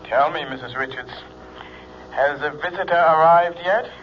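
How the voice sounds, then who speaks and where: An actor playing a sinister, controlling fortune teller on the telephone in an old radio drama, speaking in a slow, insistent way. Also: male voice, on the high side, lightly acted. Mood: ominous. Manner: slow, insistent, ominous repetition. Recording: dull, noisy old radio recording.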